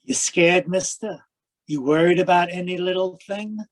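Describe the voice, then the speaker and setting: A man's voice: sits high, close to an everyday voice. An actor playing a menacing, taunting ex-convict gunman in a noir radio play, talking in cocky gangster slang. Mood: gloating. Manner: slow, taunting gangster slang, calls people pal.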